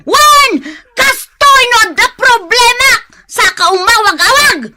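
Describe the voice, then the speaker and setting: A woman with an Ilocano Filipino accent playing a frazzled, quick-tempered beautician and nagging wife in a comic radio drama, whining and exclaiming. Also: very high voice, expressive, very noisy, heavily acted. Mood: exasperated nagging. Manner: whiny, wailing exclamations. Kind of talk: comedic radio drama acting.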